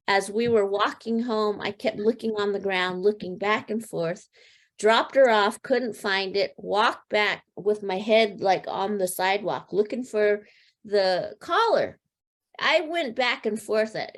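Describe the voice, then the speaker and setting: A middle-aged American woman, a podcast guest, telling a personal story in an earnest, halting way with many fillers and restarts. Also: medium pitch. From United States. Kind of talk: casual storytelling.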